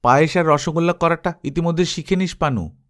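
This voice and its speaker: A man's voice: on the high side, a little fast, pitched above the performer's own voice, natural voice. A man voicing an eager, boastful boy chattering about big plans in a storybook reading. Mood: eager, excited. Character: eager boy dreaming of moon ventures.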